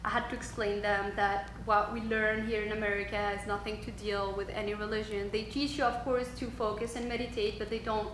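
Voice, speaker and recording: A woman's voice: medium pitch, level tone, brisk, hardly any pauses, fairly bright tone. Woman, roomy echo. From Switzerland. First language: French. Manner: hesitant, many ums, frequent laughs. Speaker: guest, yoga instructor. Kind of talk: casual interview. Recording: roomy echo with faint background noise.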